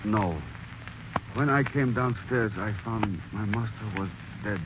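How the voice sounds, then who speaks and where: An actor playing a soft-spoken, deferential male servant in a 1940s radio drama, formal and clipped. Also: on the low side, measured pace, close to an everyday voice. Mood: humble. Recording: dull old radio recording with hiss.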